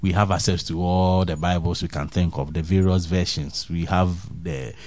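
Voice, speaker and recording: A man's voice: deep voice, flat delivery, moderate pace, a little mellow. A man reading a faith news story aloud, then musing on it in an earnest, reflective way with restarts and fillers.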